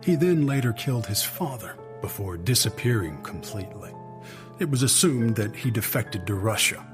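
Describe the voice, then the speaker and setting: An actor playing a matter-of-fact male intelligence agent in an audio drama, giving a measured, factual briefing. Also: medium pitch, animated, music in the background, close to an everyday voice.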